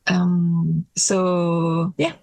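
A woman in her late thirties with a French accent speaks English, her second language, in a relaxed interview, pausing often and restarting her sentences. Her voice has a gravelly edge, and the recording is close and dry. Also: on the low side, no echo, soft background music, rough recording. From France. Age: late 30s. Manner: hesitant, thoughtful, with many pauses and restarts. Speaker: interview guest. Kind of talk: casual interview conversation.

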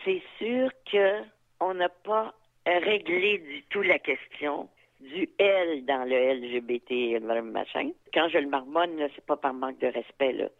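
A woman's voice: low-pitched, measured pace. Older Quebec woman on a phone line. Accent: Quebec French. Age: 70s. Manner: thoughtful, animated, frank, with fillers and asides. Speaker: interviewee, activist. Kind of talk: reflective interview talk.

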